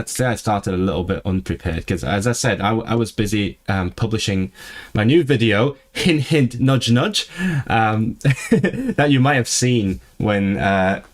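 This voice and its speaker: A man who is not a native English speaker, hosting a casual livestream and chatting with his viewers as he reads and answers the live chat. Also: on the low side. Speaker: livestream host.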